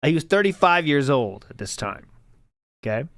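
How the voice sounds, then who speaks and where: A man's voice: a little high, very animated, moderate pace, a bit bright, no room sound, clean studio sound. A male host giving sarcastic, mocking commentary over old stream clips.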